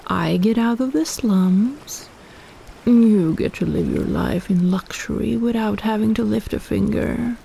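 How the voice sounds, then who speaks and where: A woman's voice: fairly high pitch, creaky, mellow, slight background noise, full character voice, low-quality recording. A woman playing a possessive, teasing kraken in an intimate roleplay audio drama, coaxing and condescending as she schemes.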